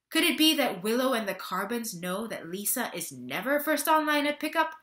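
A woman's voice: medium pitch, bright, forceful tone, reverberant. A woman in her thirties giving a theatrical scripted reading, with dramatic, emphatic storytelling, recorded at home on a webcam. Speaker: actor giving a staged reading. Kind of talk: theatrical scripted narration of a stage play. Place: small bare-walled room at home.

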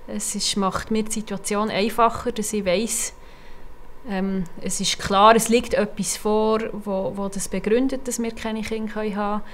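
A woman in her thirties with a Swiss German accent, speaking softly in a personal interview and searching for her words with small restarts. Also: medium pitch, slight background noise. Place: indoor music room. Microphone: lapel.

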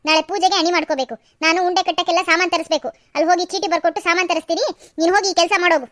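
Woman as a fast-talking, nagging mother-in-law; high voice, dry close sound. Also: steady tone, dry recording. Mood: scolding. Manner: nagging, sharp scolding. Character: stern scolding middle-aged mother-in-law. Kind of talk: Kannada audio drama.

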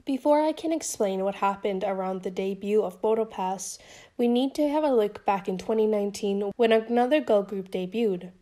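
A woman's voice: mid-range voice, warm tone. A young woman YouTuber narrating a scripted commentary in a casual, chatty way, her voice still a bit off from being sick.

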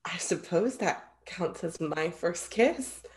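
Woman playing a low-voiced, formal young muse. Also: lively intonation, slow, darkish tone. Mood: tender, decided. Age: young adult. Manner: formal, precise, avoids contractions. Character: earnest, formal immortal female muse in love with art. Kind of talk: theatrical staged reading. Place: indoor room at home, video call.

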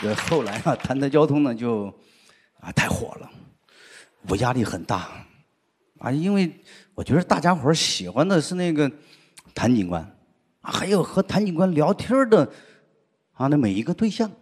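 A middle-aged man doing a stand-up routine on a studio stage into a handheld mic, telling stories with lots of drawn-out "ah" fillers. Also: fairly high pitch, breathy edge. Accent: Sichuan. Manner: lively storytelling with frequent "啊" fillers. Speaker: stand-up comedian. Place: large indoor studio stage with audience. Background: audience laughter.

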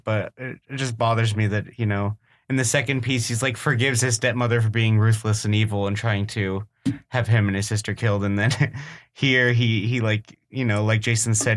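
A man talking informally about poems as a panelist on a literary podcast. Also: on the low side. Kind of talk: casual literary discussion.

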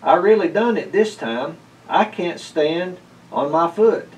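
A man's voice: on the high side, animated, slow, darkish tone, far from the mic, voice pushed up, rough recording. A man voicing a grumbling, practical old man in a children's storybook read-aloud. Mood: pained. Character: grumbling, practical old male farmer-like homebody.

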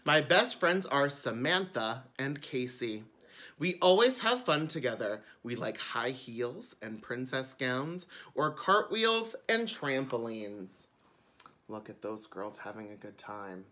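A man's voice: medium pitch, big pitch swings, slow pace, sharp tone. A man, a drag queen, reading a picture book aloud expressively for children, with chatty asides. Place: indoors before a curtain.

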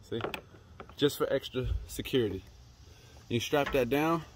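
A young man talks viewers through a how-to in a relaxed, instructive way, speaking straight to them at an everyday mid pitch. He is outdoors in a suburban driveway, picked up by a camera mic that drifts nearer and farther, with a faint hiss of outdoor noise behind him. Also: unhurried. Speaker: fishing channel host. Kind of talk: casual how-to demonstration. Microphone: camera mic, moving toward and away.

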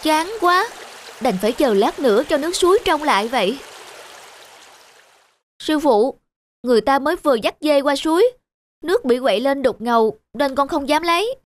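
A woman voicing an eager, obedient young male disciple in a cartoon folktale, respectful and expressive. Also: high voice, normal speed, pauses often. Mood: frustrated. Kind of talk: cartoon voice acting. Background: faint music.

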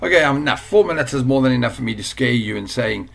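Casting: South African man, mid-pitched, off-the-cuff screen-recorded tutorial, chatty and rambling. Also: animated, fast talker, vocal fry, a bit bright, slight background noise. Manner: rambling, informal, many fillers and restarts. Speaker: online course instructor. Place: at a computer, voice-over screen recording.

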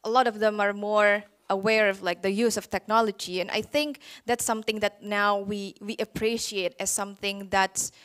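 A woman speaks on a conference panel, pausing often with fillers. Her voice is smooth and clear. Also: medium pitch, little pitch movement, a bit bright. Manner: hesitant, filler-heavy.

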